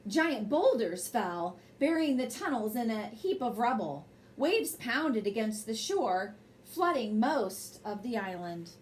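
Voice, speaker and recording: A woman reads a bedtime story in a fairly high, very animated voice. She is expressive and speaks directly to young listeners. There is some faint noise behind her. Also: takes it slowly, hardly any pauses. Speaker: storybook reader and host. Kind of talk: bedtime story read aloud with brief asides.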